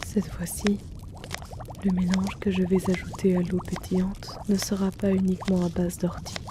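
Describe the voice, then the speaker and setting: A woman voicing a timid, gentle male herbalist in an ASMR roleplay, speaking softly and soothingly. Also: fairly low pitch, little pitch movement, background noise, natural voice. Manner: soft-spoken, slow and soothing. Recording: rain and storm ambience, pouring liquid.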